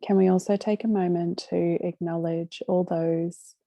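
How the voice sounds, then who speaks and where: A woman guides a meditation in a very soft, dark-toned, relaxed voice with a gravelly edge of fry. She speaks gently and very slowly, leaving long pauses between short phrases. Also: lowish voice, dry recording. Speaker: meditation guide hosting a live session.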